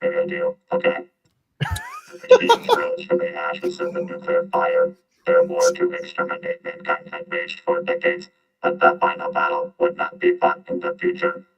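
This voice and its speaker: A man reading with a robot voice filter as a female AI narrator, slow and mechanical. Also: very high voice, huge pitch swings, gravelly, far from the mic, muffled recording, faint music behind, pitched above the performer's own voice, full character voice.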